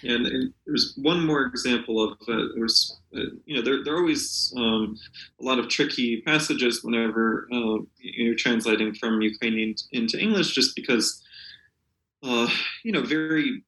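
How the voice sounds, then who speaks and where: A man speaking as a literary translator in an unscripted interview, halting and often searching for words. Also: mid-range voice, bright, forceful tone, echoey room, rough recording.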